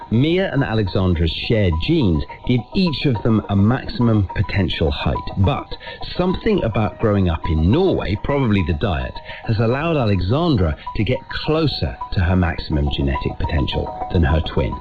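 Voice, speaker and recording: Male documentary presenter, low lively voice, muffled radio sound with music behind. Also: moderate pace, few pauses. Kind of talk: scripted narration and casual interview questions.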